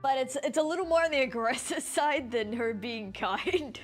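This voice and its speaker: Female game streamer, lightly high voice, informal off-the-cuff commentary over soft game music. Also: moderate pace. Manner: informal, musing aloud. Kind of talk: casual live game commentary.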